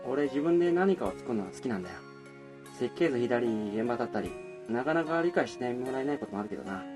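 A man's voice: mid-range voice, lively intonation, fast, lightly acted. A man playing a confident, forward young architect from a rich family in a Japanese radio drama, talking casually. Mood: earnest, casual. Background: music with plucked guitar.

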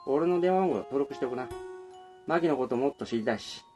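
A man's voice: mid-range voice, expressive, a little fast, a little mellow, close to an everyday voice. A man playing a confident, forward young architect from a rich family in a Japanese radio drama, talking casually. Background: music with plucked guitar.

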